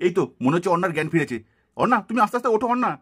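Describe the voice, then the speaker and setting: Man playing an urgent, high-voiced cartoon rescuer. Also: big pitch swings, racing, husky, full character voice. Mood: worried. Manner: urgent, emphatic. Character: protective, alarmed village man, a heroic rescuer. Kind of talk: puppet cartoon acting.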